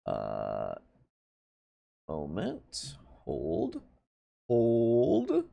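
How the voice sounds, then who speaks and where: A man hosting a casual tabletop gaming livestream, talking in a halting way with jokey, self-deprecating asides. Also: on the low side, wildly swooping pitch, gravelly, no room sound, highs cut off. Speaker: host of a tabletop roleplaying livestream.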